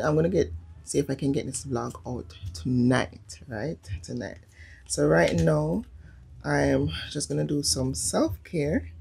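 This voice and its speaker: A young woman with a Jamaican accent and a low, husky voice, vlogging casually about her day. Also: a little mellow. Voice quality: husky throat, creaky low voice. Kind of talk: casual vlog talk and chat.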